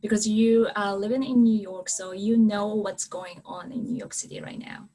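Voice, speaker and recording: A woman's voice: mid-range voice, fairly even intonation, normal speed, warm tone. A woman hosting a casual chat, bubbly and effusive in her talk. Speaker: host of an English-learning channel. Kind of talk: casual video-call chat.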